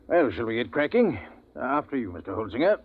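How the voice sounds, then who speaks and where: An actor playing a polite, brisk raja who is a gentleman hunting partner in a 1950s radio drama. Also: medium pitch, sing-song. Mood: courteous, brisk. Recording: noisy, tinny old radio recording with hum.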